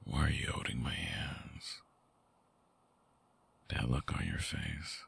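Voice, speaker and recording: A man playing a brooding, vulnerable vampire ex-lover in an intimate ASMR audio drama, hushed and halting, with a breathy, husky, gravelly voice close to the mic. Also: very deep voice, expressive, full character voice. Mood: tender, vulnerable. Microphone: close binaural microphone, dry.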